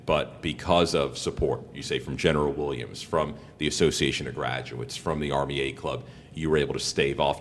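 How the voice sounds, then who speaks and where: Very deep-voiced male interviewer, rumbly background. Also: big-room sound, a little hiss or hum. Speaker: sports broadcaster and interviewer. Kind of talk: podcast interview questioning.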